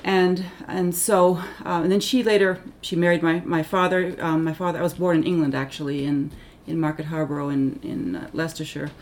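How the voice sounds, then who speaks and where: A middle-aged woman who grew up in Tanzania, with Finnish as her first language, recalls her childhood in a thoughtful, halting way, full of ums and self-corrections. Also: low-pitched, steady tone, a little mellow, a little hiss or hum. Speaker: interviewee, Africanist scholar. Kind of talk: reflective oral history interview.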